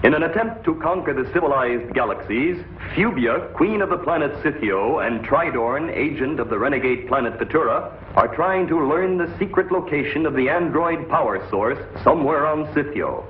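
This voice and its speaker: A man's voice: on the high side, expressive, moderate pace, few pauses, slight background noise. A man narrating a scripted recap as the announcer of a 1950s television sci-fi serial. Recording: muffled, echoey 1950s TV soundtrack, music behind.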